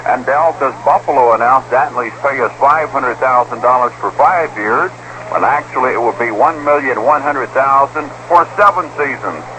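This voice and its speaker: A man's voice: mid-range voice, sharp tone, slight background noise, rough recording. An American man reading scripted sports news on the radio.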